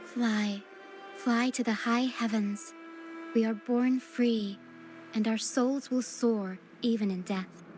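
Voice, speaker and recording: A woman playing a caring, solemn young woman in a video game, speaking in a measured and earnest way. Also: a little high, fairly even intonation. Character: caring young woman guiding through a ghostly mist, solemn and gentle. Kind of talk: scripted video game acting. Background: game music.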